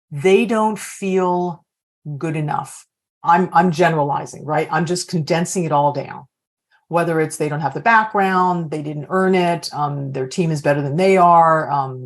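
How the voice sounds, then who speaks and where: A woman coaching leaders on a video call, reflectively posing open questions to the group. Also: deep voice. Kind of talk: workshop facilitation.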